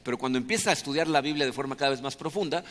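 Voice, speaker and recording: A man's voice: mid-range voice, very fast, a bit bright, long reverb tail. A Mexican man with a Mexican Spanish accent, preaching a sermon as a pastor in a conversational way, often checking in with the congregation. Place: church hall.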